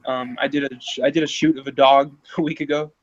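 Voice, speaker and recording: A man in his thirties chatting casually, often stammering and restarting, growing animated when excited. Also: mid-range voice, fast. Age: 30s. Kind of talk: casual chat. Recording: dull lo-fi sound.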